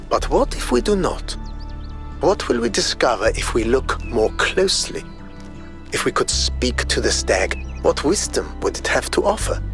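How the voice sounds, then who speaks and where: A man playing a grave, philosophical robot explorer who scorns nature, delivering a measured, eloquent game audio log monologue. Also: medium pitch, a bit bright. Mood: musing disdain.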